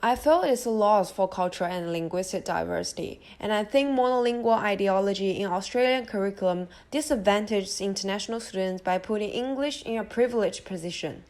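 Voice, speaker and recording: A woman with a Chinese accent voicing a supportive young Australian boyfriend, speaking gently and reassuringly with even, read-out lines. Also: mid-range voice, a little fast, hardly any pauses, close to an everyday voice. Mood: earnest.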